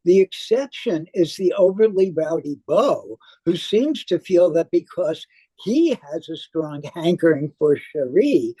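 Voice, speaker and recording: A woman reads a prepared theater review at a slow, deliberate pace. Her voice is husky and mellow, and the recording is dry and close. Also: on the low side, dry recording, low-quality recording. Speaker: theater critic. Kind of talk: scripted review read aloud.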